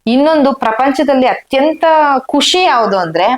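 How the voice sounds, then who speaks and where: A woman's voice: high-pitched, expressive, normal speed. A woman, chatting casually and colloquially as an interview guest. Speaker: interview guest, social media creator.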